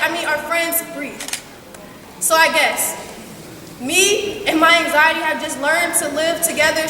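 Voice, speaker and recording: A woman's voice: extremely high pitch, pushed and bright. A young woman performing slam poetry as a student, with a dramatic, confessional delivery. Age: young adult. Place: large school assembly hall. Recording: echoey hall from far off, faint noise.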